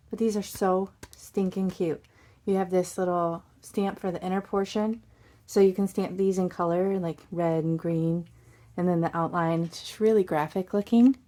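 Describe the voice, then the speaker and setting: A hoarse woman hosting a casual crafting unboxing from her craft desk, chatty and bubbly, with little asides and puns. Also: lowish voice, mellow. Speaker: crafting channel host.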